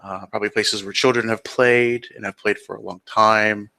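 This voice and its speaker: Creaky, bright-voiced man as game master. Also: fairly low pitch, little pitch movement, moderate pace, rough recording. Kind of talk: improvised tabletop roleplay narration.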